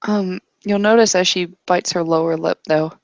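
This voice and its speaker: A woman improvising narration as a roleplay player, pausing often to pick her words. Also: lowish voice, steady tone, no room sound.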